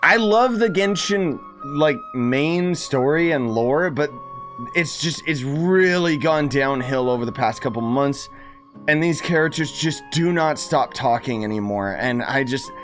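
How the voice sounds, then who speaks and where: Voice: medium pitch, lively intonation, unhurried, fairly bright tone. A streamer giving casual reaction commentary over a game, impatient, sarcastic and complaining. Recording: game music behind the voice.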